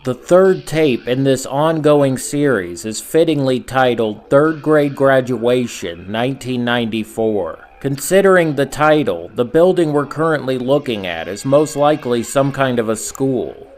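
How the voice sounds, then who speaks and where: A man narrating scripted horror commentary as a host, in a measured storytelling manner with rhetorical asides. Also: medium pitch, animated. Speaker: video essay host and narrator.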